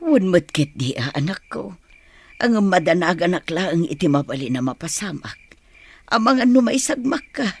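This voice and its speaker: A woman playing an anxious, protective mother of a grown son in an audio drama, husky, fretful and pleading. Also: on the low side, big pitch swings, moderate pace, full character voice. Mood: worried. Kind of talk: Ilocano audio drama acting.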